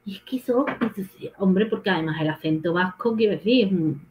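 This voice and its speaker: Middle-aged female guest, lively and emphatic, muffled call audio from a home room. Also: a little fast. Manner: animated storytelling, rises in pitch for emphasis. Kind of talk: casual video-call chat.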